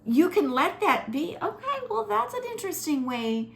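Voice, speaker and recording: High-voiced woman acting out examples. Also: normal speed, vocal fry, slight background noise. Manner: animated, acts out example dialogue. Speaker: relationship coach. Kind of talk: casual conversational coaching.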